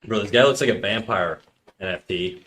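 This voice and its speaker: A man's voice: lowish voice, normal speed, a bit bright. A man of thirty chatting casually on a live stream, rambling in slang and reacting to chat messages. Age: 30s.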